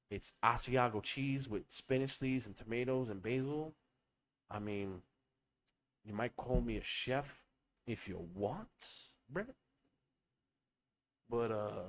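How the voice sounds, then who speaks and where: A young man rambles through a loose comedy podcast chat, swearing freely and riffing on whatever comes to mind. His pitch swings all over the place, jumping high when he gets worked up and dropping low for mock-serious asides. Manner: profane, riffing, playful bits with voices. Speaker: podcast host.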